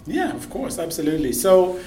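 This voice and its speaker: A man in his forties from Zimbabwe, a podcast guest talking in a relaxed way with many fillers and restarts. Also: high voice, fairly quick, soft background music. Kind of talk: conversational interview.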